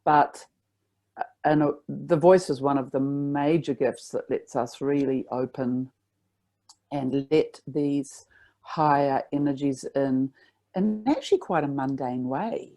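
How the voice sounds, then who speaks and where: A woman voice teacher talking in a reflective, spiritual way in a relaxed conversation, sometimes showing high and loud notes as she talks. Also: slow pace. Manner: reflective, spiritual, demonstrates pitch while talking.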